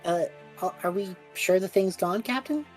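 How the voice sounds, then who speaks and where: A woman's voice: medium pitch, moderate pace, faint music behind. A woman chatting casually in character as a player in a tabletop game. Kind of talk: casual in-character role-play chat.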